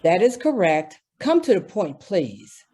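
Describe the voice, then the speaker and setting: A woman's voice: medium pitch, very animated, unhurried, warm tone, breathy edge. A woman playing a brusque, self-important male store floor walker in a radio drama, curt and haughty. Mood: curt impatience. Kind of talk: old-time radio drama acting.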